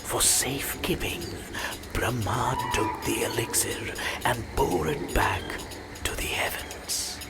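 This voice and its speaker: A majestic, serene god telling ancient myths in a video game. The delivery is slow and breathy, close to a whisper, with solemn pauses. Loud music plays underneath. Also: male voice, mid-range voice, animated. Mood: grave, serene. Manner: measured, solemn, deliberate pauses. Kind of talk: mythic game narration.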